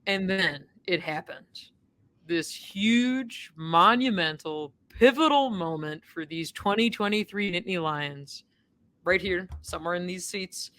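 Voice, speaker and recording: A woman co-hosting a college football podcast, telling game stories in a booming, lively way from a stadium press box. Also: a little slow. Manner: lively storytelling, self-described booming voice. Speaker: podcast co-host, sportswriter. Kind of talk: casual sports podcast chat.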